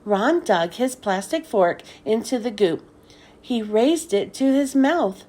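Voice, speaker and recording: Female storybook narrator for kids: slow, expressive read, lots of melodic movement. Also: on the high side. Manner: expressive, deliberate storytelling delivery. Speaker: children's book read-aloud host. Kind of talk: scripted storybook narration for children.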